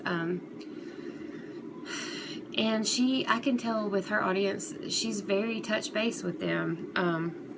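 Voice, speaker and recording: A woman giving casual, unscripted opinion commentary as a vlogger, talking in a hesitant, rambling, conversational way. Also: medium pitch. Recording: clearly noisy background ambience.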